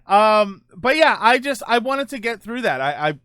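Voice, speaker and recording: Casual man chatting, slightly higher-pitched voice. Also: very animated, normal speed, pushed and bright, smooth, no room sound, studio quality. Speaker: host of a gaming show and livestreamer. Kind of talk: casual livestream chat about video games, answering viewer comments.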